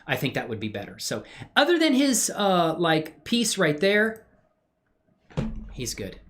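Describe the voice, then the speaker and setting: A man's voice: on the high side, a bit bright. A man hosting a casual livestream, chatting effusively with viewers and rambling through his 3D animation work in playful slang. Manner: effusive when greeting viewers, playful slang, muttering and rambling while working. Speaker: livestream host and hobby 3D animator. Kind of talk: casual livestream chat and screen-share tutorial.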